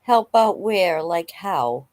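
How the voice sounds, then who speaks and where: A woman's voice: lowish voice, lively intonation, takes it slowly, darkish tone. A woman reading the part of a casual, probing investigator in a dramatic reading of a police interview. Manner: conversational, inquisitive.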